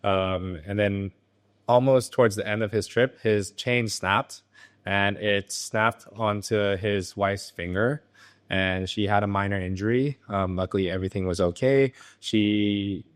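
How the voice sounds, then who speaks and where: A young man from Thailand with an American accent, a business founder answering interview questions in a relaxed, halting way with many ums and uhs. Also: fairly low pitch. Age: young adult. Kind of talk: casual interview. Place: his motorcycle garage.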